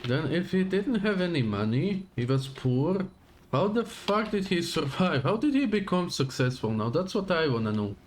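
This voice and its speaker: A man's voice: fairly high pitch, very animated, normal speed, a little hiss or hum. A man streaming a game live, giving casual, wry commentary and reactions. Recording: game music behind the voice.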